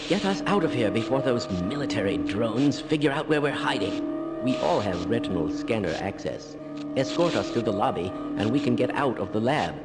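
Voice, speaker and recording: A man's voice: mid-range voice, sing-song, moderate pace, over loud music, close to an everyday voice. A man playing an anxious, fussy research scientist in a video game, precise and nervous. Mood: relieved, urgent. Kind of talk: video game character acting.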